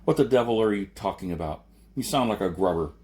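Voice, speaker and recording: A man voicing a big, gruff, stubborn warrior leader in a story, blunt and dismissive. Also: on the low side, expressive, fast, darkish tone, close to an everyday voice. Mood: angry, dismissive.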